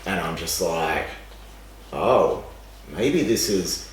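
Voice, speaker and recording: A young man with a raspy, fading voice, rambling and animated as a guest in a casual podcast chat. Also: low voice, slow pace. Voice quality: raspy, dry. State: losing his voice, raspy. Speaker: podcast guest, exercise scientist. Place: room with a seated podcast setup. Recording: echoey room, distant mic, faint noise.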